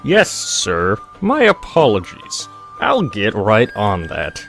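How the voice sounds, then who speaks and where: A man's voice: on the low side, wildly swooping pitch, takes it slowly, vocal fry, fairly bright tone, faint music behind, heavily acted. A man acting a stern, dutiful pony royal guard in an audio drama, formal, protective and suspicious. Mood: stiff, formal.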